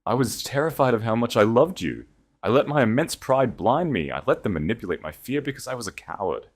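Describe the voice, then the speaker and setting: A man's voice: mid-range voice, expressive, fairly quick, pitched above the performer's own voice, close to an everyday voice. Male narrator as a grief-broken nobleman, choked and pleading in an audiobook romance. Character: grief-stricken duke, man, begging, broken.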